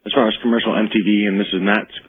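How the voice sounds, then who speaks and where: Low, husky guy on the phone. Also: little pitch movement, rapid-fire, no room sound. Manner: informal, slangy. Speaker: phone-in caller, musician. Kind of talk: casual chat. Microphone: telephone. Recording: narrow tinny phone line.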